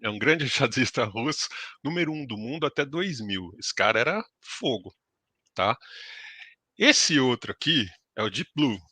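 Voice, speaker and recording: A male instructor explains a lesson in a casual, chatty way. His voice is bright and forceful. The sound is very dry and close, with no room at all. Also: medium pitch, animated, moderate pace, dry recording. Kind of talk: live lesson presentation.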